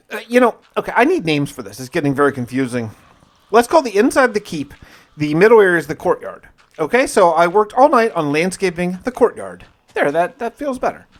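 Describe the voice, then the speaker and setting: Higher-pitched man narrating gameplay. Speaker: gaming video host. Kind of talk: casual first-person game narration.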